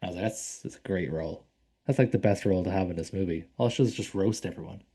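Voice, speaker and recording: A man with a lowish voice talks through films on a casual podcast. He rambles along with plenty of ums and likes, and he swears freely. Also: fast talker, mellow, breathy edge. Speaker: podcast co-host. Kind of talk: casual film discussion.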